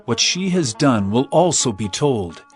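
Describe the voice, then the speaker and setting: An actor playing a solemn, sorrowful male teacher and prophet in a dramatized scripture recording, speaking earnestly and deliberately. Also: mid-range voice, sing-song, soft background music. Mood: solemn, tender.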